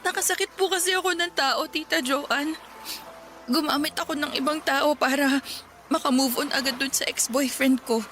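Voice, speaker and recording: A dejected, high-voiced young woman. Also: steady tone, moderate pace, a bit bright, faint music behind. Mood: remorseful. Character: dejected, guilt-ridden young woman, ill and withdrawn. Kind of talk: Filipino radio drama dialogue.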